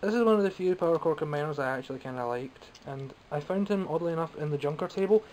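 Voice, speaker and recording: A man's voice: fairly high pitch, quick pace, darkish tone, slight background noise. A young Scottish man chatting casually and wryly about his toy haul from behind the camera, a bit phlegmy and coughing now and then. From Scotland. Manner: wry, rambling and self-mocking. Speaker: toy collector vlogger. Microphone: camera mic, speaking from behind the camera.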